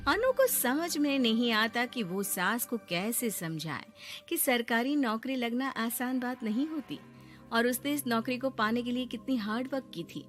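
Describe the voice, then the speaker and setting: A woman's voice: fairly high pitch, animated, unhurried, faint music behind. A woman narrating a scripted comic cartoon story in storytelling style.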